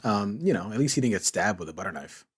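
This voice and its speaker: A man's voice: lowish voice, lively intonation, fast talker, slightly rough. A man chatting casually as a podcast co-host, jokey and playfully dramatic. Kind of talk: casual chat.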